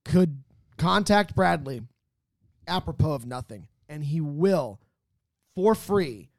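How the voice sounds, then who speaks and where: A man's voice: fairly high pitch, lively intonation, slow pace, gravelly, no room sound, studio quality. A man co-hosting a casual panel show, teasing the others and using mock-grand phrasing. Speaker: podcast panelist and co-host. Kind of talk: casual banter.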